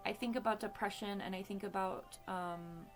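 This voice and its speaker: A woman's voice: medium pitch, steady tone, moderate pace, faint music behind. A woman in her late thirties speaking candidly in a casual monologue, full of fillers and asides. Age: 30s. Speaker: podcast host.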